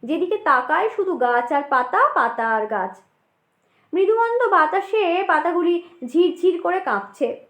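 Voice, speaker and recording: A woman with a distinctly high voice narrates a scripted ghost story in an expressive storytelling style. The sound is noticeably roomy, with echo around her words. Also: unhurried.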